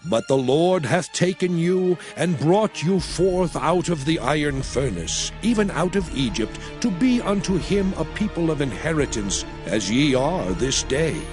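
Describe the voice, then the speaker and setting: A man's voice: on the high side, very animated, unhurried, hardly any pauses. An actor playing Moses as a solemn prophet exhorting his people, in emphatic, archaic oratory for a dramatized scripture recording. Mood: stern warning. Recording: voice over a music bed.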